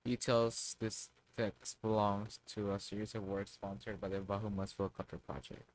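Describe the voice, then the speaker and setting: A man's voice: fairly low pitch, level tone, moderate pace, low-quality recording. A young man streaming a game, reading text aloud and making wry jokes. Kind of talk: casual livestream reaction.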